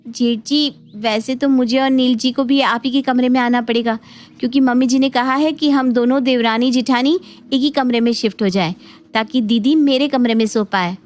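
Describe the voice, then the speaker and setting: A woman voicing a young cartoon daughter-in-law, polite on the surface and quietly resentful. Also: on the high side, steady tone, moderate pace, natural voice. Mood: polite, evasive. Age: young adult. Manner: deferential on the surface, exasperated. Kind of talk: Hindi cartoon family drama acting. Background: faint background music.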